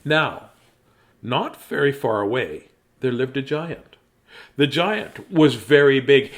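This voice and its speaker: A man's voice: mid-range voice, fairly bright tone. A middle-aged man chatting casually and reading children's stories in a silly, rambling, self-deprecating way. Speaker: children's story reader, host. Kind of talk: casual livestream chat and bedtime story reading.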